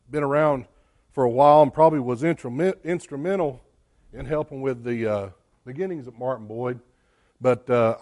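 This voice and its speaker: Plainspoken man giving a church talk. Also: mid-range voice. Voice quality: loud voice. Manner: plainspoken, many "uh" fillers. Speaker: executive director of a care home, guest speaker. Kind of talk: church presentation.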